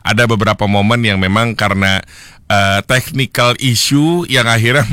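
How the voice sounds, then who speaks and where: A young man hosting a solo radio show, chatting casually with listeners and slipping English phrases into his talk, with a slightly hoarse throat. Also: medium pitch, pushed and bright, dry recording, studio quality. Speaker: radio host. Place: radio studio. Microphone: studio microphone.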